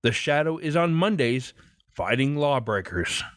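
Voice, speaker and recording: A man's voice: a little high, big pitch swings, measured pace, dry recording, clean studio sound. A man hosting a podcast, reading a scripted introduction. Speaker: podcast host.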